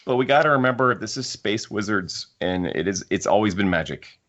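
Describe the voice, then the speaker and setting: A man's voice: on the low side, lively intonation, brisk, a bit bright. A man co-hosting a podcast in casual fan discussion, musing aloud and circling back to rephrase his points. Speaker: podcast co-host.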